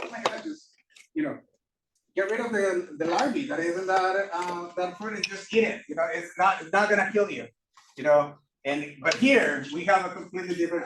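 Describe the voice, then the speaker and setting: A man from Costa Rica giving a classroom lecture as a professor, halting with many fillers and restarts. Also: fairly high pitch, background noise. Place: lecture room. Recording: echoey room from far off.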